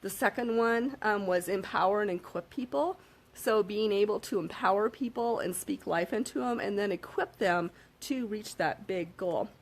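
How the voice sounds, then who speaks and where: A woman with a slightly hoarse voice, giving a casual motivational talk to camera and checking in with her listeners often. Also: mid-range voice, moderate pace, poor audio. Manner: upbeat, frequent "okay?" check-ins and fillers. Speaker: self-help vlogger.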